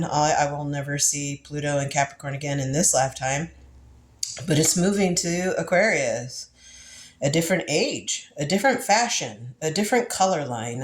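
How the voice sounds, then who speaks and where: Stuffy-nosed woman in her 50s, low voice, rambly tarot chat, lots of little laughs. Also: roomy sound. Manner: musing, laughs often, repeats words. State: congested from the flu. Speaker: tarot reader. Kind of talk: casual spiritual talk while pulling tarot cards.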